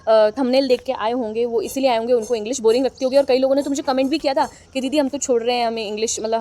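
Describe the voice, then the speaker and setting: A young woman with an Indian accent leads an exam-prep lesson in a high, light voice. She talks in a chatty, encouraging way. She reads each line slowly and then explains it in her own words. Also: dark tone. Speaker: teacher.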